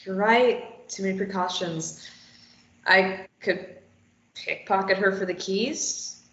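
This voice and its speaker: A woman's voice: mid-range voice, slow, fairly bright tone, lo-fi sound. A woman playing a defiant captive, roomy sound. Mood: determined. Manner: snappy, argumentative. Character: defiant, sarcastic captive woman, tied up and desperate. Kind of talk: script table read.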